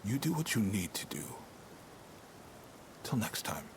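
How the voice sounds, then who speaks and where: An actor playing a worldly, reflective mentor-like ally in understated game dialogue, conversational, measured and a little wry. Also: male voice, lowish voice, very animated, background noise. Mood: reflective, grave.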